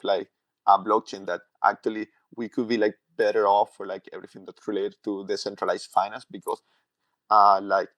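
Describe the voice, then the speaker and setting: A man's voice: fairly low pitch, fairly bright tone, no echo. A man from Chile, a tech executive giving a casual technical explanation, full of "like" and "uh" fillers. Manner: halting, heavy use of "like" and "uh" fillers. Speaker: tech company CTO, podcast guest.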